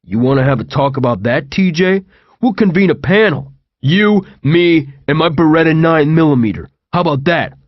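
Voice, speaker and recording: Man voicing a combative male inmate, taunting and loud, raised pitch, dull lo-fi sound. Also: animated, moderate pace, no room sound. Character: hostile, swaggering armed male inmate. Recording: dull lo-fi audio.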